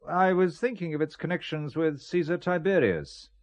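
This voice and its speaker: An actor playing a dry-witted amateur spy posing as a buyer in a thriller audio drama, wry, needling and self-possessed. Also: male voice, a little high, moderate pace, no echo, natural voice. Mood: wary.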